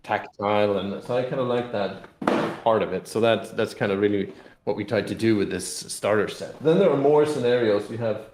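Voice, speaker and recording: A man's voice: lowish voice, normal speed, a little mellow, a little hiss or hum. A man in a casual interview explaining a tabletop game in a rambling, loosely hedged way. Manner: rambling, explanatory, hedging with "kind of". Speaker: game publisher representative being interviewed. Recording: roomy, echoey sound.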